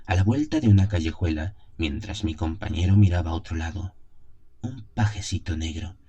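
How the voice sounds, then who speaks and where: Male narrator for gothic audio fiction: very low, mellow voice, solemn theatrical delivery, music underneath. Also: moderate pace, muffled recording. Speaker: narrator of an audio horror story. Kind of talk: dramatic scripted first-person narration of a gothic tale. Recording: music behind the voice.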